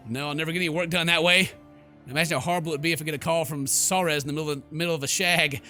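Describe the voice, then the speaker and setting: A man's voice: on the high side, fairly even intonation, rapid-fire, bright, forceful tone, faint music behind. A man doing goofy, slangy let's play commentary, reading game text aloud with theatrical exclamations. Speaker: gaming YouTuber.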